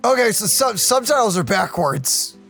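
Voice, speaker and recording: A woman's voice: mid-range voice, big pitch swings, normal speed, a bit bright, faint music behind. A woman streaming a game playthrough, reacting casually in a slangy, emotive way. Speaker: let's play streamer.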